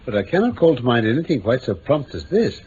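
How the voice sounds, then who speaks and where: An actor playing a shrewd, courteous English gentleman detective in a radio drama, speaking with crisp, formal authority and dry irony. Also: mid-range voice, very animated, quick pace, natural voice. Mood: brisk, intrigued. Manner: crisp, formal, probing, dryly ironic. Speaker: actor in the lead detective role. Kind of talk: 1950s-60s BBC radio drama acting. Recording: muffled, hissy old radio recording.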